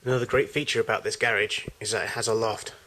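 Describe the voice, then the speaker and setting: Low-voiced man, flat delivery, noisy home video. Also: fast. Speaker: collector giving a tour of his machines. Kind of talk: unscripted home video tour commentary. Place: home garage with loft. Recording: noisy amateur camcorder audio.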